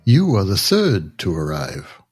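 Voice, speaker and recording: Husky, low, solemn cult doorkeeper. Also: male voice, huge pitch swings, unhurried, soft background music, heavily acted. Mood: grave, curt. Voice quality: husky, altered by a mask. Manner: ceremonial, grave. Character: solemn masked male cult doorkeeper in dark robes. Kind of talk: audio drama acting.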